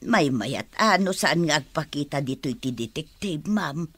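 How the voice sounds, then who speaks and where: A woman's voice: sits low, lively intonation, normal speed, a bit bright, no room sound. A woman playing an alert household helper advising her mistress in an Ilocano radio drama, with a wary manner.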